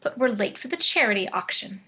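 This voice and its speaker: A woman's voice: mid-range voice, lively intonation, a little fast, sharp tone. A woman playing an elegant, indulgent mother with mock sternness in a comedy table read. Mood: mock stern.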